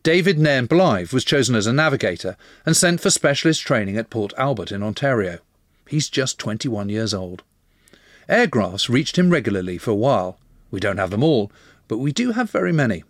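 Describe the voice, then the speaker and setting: A man narrating a scripted history documentary with measured, emphatic storytelling. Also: medium pitch, sing-song, dry recording, studio quality.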